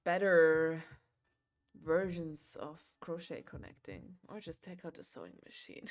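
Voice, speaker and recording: Chatty young woman. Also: fairly low pitch. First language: German. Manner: chatty, rambling, filler-heavy, laughs often. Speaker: livestream host. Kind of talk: casual chat while crocheting.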